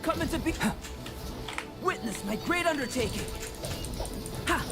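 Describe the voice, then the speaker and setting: A woman's voice: high-pitched, animated, unhurried. An actress playing a confident young woman fighter, calling out battle lines in an anime fantasy game over music. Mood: determined, battle-ready. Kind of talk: video game battle barks.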